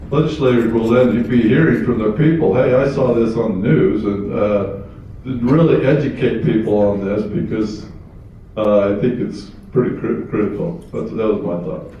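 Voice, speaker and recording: A mayor speaking bluntly and plainly in a meeting. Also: male voice, medium pitch, mellow, a little hiss or hum. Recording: distant, roomy, dull sound.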